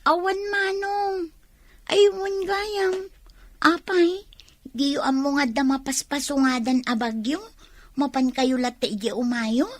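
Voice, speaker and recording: A woman playing a gentle, dutiful young village daughter in an Ilocano radio drama. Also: high-pitched, steady tone, slow, no echo. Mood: surprised, friendly.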